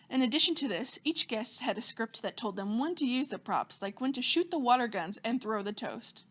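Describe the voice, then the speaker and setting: A young woman reads student news from a script. Her voice sits a touch high, and her delivery is smooth and upbeat. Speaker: news anchor.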